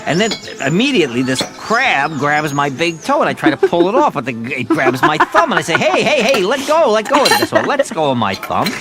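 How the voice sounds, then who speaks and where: An actor in a radio drama playing an excitable, wisecracking, gullible sidekick who talks in comic, repetitive bursts. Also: male voice, on the high side, fairly quick, few pauses. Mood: excited. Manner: breathless comic storytelling, repeats himself.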